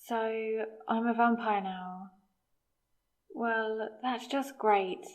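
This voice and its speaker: A woman playing a newly turned vampire, sarcastic and rattled, in a conversational, exasperated audio roleplay with hesitant pauses. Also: fairly high pitch, fairly even intonation, dry recording. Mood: wry musing. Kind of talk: scripted ASMR audio roleplay.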